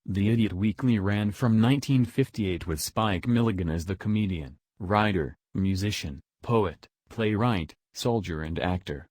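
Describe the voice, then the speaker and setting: A man giving measured, informative scripted narration. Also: lowish voice, darkish tone, dry recording. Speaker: documentary narrator.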